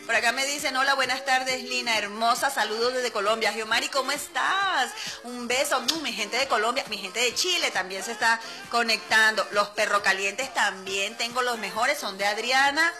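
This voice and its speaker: A woman's voice: a little high, normal speed, bright, forceful tone, soft background music. A woman with a Venezuelan accent hosting a radio show, chatting casually and playfully, laughing often as she talks to her listeners. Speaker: radio host. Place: radio studio.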